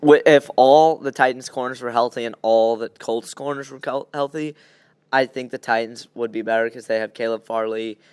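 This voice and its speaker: A man's voice: medium pitch, fairly even intonation, normal speed, darkish tone, smooth, dry recording. A young man hosting a podcast, chatting casually and giving blunt opinions on sports. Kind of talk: casual sports chat, game predictions.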